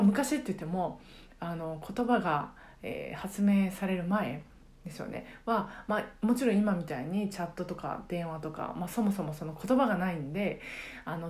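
Mellow-voiced woman chatting. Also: fairly low pitch. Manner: reflective, halting, searching for words. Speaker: podcast host and karaoke teacher. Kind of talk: casual solo podcast chat.

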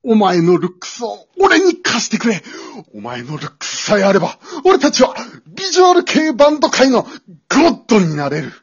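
An amateur radio-drama performer playing a passionate, pushy male high school teacher, melodramatic and swinging between hushed and loud delivery. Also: huge pitch swings, moderate pace, husky, dull audio, heavily acted. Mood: pleading.

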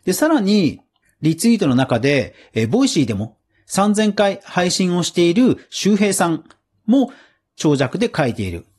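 A man's voice: sits high, very animated, moderate pace, a little mellow, slightly rough. A man hosting a podcast, giving casual, explanatory solo commentary on news in a conversational way. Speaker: podcast host.

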